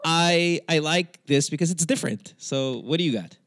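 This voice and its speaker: A man's voice: a little high, normal speed, a bit bright. A man hosting a podcast call-in show, chatting casually and bluntly with plenty of swearing. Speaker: podcast host taking calls. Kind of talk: casual, profane talk-show chat.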